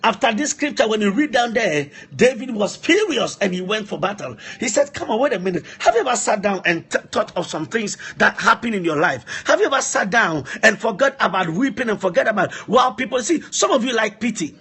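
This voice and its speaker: High-pitched husky male preacher. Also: expressive, fairly quick, hardly any pauses, sharp tone. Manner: emphatic, repeats phrases, poses rhetorical questions. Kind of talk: impassioned sermon.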